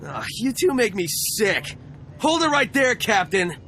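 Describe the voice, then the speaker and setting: Shrill manic bounty hunter. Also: male voice, a little slow, slight background noise, heavily acted. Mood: sneering. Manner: taunting, shrill, excitable. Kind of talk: video game voice acting.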